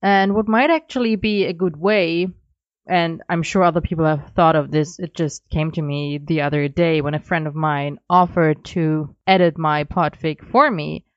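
A woman's voice: sits low, fairly even intonation, moderate pace, no echo, highs cut off. A woman who is a non-native English speaker, giving informal recorded listener feedback. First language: not English. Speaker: listener sending in audio feedback.

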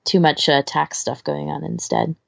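Low, creaky-voiced woman chatting casually. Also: level tone, moderate pace, dry recording. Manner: informal, rambling, hesitant, trailing off. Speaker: podcast co-host. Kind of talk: casual chat, recommending fan fiction audio.

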